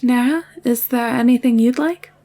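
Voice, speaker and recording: A woman playing a warm, headstrong, protective young woman in a scripted audio roleplay, coaxing and playful with an assured manner. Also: a little high, normal speed. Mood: cheerful, coaxing.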